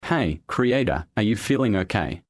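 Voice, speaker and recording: A man's voice: lowish voice, animated, moderate pace, no room sound, studio quality. A man playing an earnest, concerned cartoon hero in scripted dialogue.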